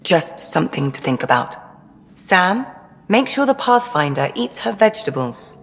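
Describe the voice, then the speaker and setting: A woman's voice: on the low side, big pitch swings, normal speed, long reverb tail, soft background music. A woman playing a dry-witted alien doctor in a video game, speaking in a precise, clinical way. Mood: clinical, eager. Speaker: ship's doctor. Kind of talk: video game dialogue acting.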